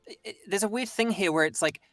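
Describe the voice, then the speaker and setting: A man's voice: sits high, quick pace, fairly bright tone, dry recording. A young man with an English accent, chatting as a voice-actor guest in a rambling, self-interrupting way. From England. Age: young adult. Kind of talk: casual interview chat.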